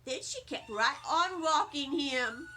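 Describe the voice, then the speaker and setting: High, bright woman reading a storybook. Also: expressive, takes it slowly, slight background noise. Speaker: storybook reader, teacher. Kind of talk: expressive children's read-aloud narration.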